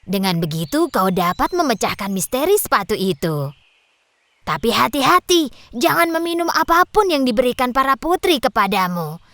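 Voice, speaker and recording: Perempuan memerankan nenek tua yang miskin. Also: female voice, a little high, sing-song, normal speed, a bit bright. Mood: advising. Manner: pleading then advising, knowing. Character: poor old grandmother, kindly but cunning. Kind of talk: storybook cartoon voice acting.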